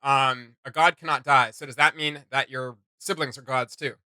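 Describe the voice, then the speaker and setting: A man with a deeper, very sharp-edged voice delivers casual reaction commentary. He is opinionated and reworks his thoughts mid-stream. The sound is dry and close. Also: fairly even intonation, moderate pace, dry recording. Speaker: reaction video host.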